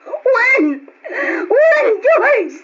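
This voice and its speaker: An actress playing a long-suffering, pleading wife in an Ilocano radio drama, plaintive and emotional. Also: female voice, very high-pitched, sing-song, very slow, fairly bright tone, exaggerated voice. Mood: pained pleading. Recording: dull, lo-fi old radio drama recording.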